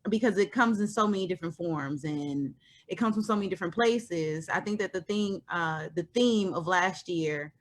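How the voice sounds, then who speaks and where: Lady in her 30s, thinking out loud, lotta um and you know, lowish voice. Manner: thoughtful, pauses with lots of ums and you knows. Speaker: guest. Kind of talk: reflective conversation.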